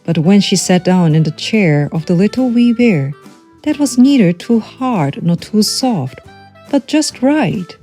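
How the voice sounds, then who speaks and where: Slow, mellow woman reading a children's story. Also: mid-range voice, animated, soft background music. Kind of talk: scripted children's storybook narration.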